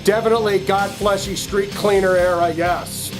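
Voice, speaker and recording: Higher-pitched man chatting casually. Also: fairly even intonation, moderate pace, music in the background, rough recording. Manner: rambling, jokey, slangy. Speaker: music reaction host. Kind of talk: casual chatty commentary, thinking aloud.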